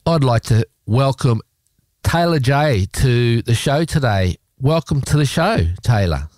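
A man's voice: mid-range voice, animated, a little slow, a little mellow, no echo, clean studio sound. Australian male radio host, rambling and informal. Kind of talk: casual radio presenting and interview chat.

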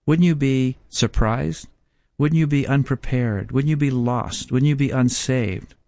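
A man in his fifties giving a sermon as a Bible teacher, speaking slowly and emphatically with repeated rhetorical questions. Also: medium pitch, creaky, warm tone. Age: 50s.

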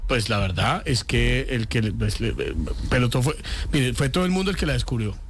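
A man's voice: mid-range voice, big pitch swings, brisk, noisy background. A man with a Colombian accent hosting a mock interview on comedy radio. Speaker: radio host.

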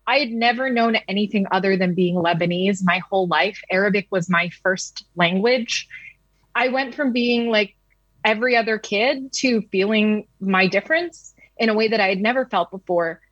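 A woman's voice: medium pitch, moderate pace, fairly bright tone, husky, dry recording. A woman news reporter in her thirties from the United States speaks candidly and conversationally. The audio is slightly dull and dry. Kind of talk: interview recollection.

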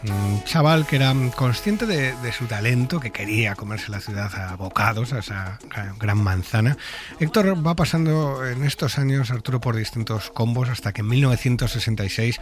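A man's voice: medium pitch, moderate pace. A man with a Castilian accent from Spain hosting a music programme, telling stories and asking questions conversationally. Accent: Spanish, from Spain. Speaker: radio music show host. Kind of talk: conversational music storytelling and interviewing. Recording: music playing behind the voice. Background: background music.

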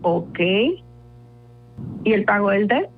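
A woman's voice: mid-range voice, slow pace, gravelly, slight background noise. A woman hosting a comedy call on the radio who stays patient, laughs and plays along. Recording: dull radio sound with music.

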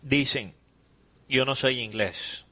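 A man's voice: medium pitch, takes it slowly, bright, forceful tone. A man, explaining things casually as a panelist in a sports discussion.

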